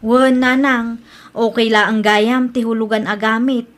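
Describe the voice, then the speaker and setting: A woman playing a grown daughter with an Ilocano accent in an audio drama, speaking softly and earnestly. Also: a little high, flat delivery, a little slow, close to an everyday voice. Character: grown daughter, once scornful now humbled. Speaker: daughter Brenda.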